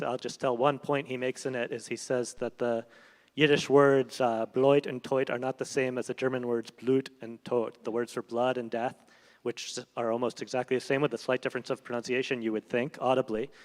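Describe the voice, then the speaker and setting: A man answering audience questions on a panel, thoughtful and measured, with many fillers and restarts. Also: medium pitch. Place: event hall on stage. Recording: reverberant hall.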